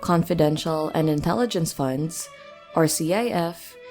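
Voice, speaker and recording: A woman presenting a scripted news commentary segment on a podcast in a measured, articulate reading. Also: low-pitched, a little mellow, faint music behind.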